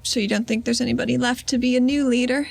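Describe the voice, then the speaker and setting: High-pitched, hesitant woman roleplaying. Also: steady tone, quick pace, gravelly. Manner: hesitant, timid, stammering in character. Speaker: tabletop game player. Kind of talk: in-character roleplay. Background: faint music.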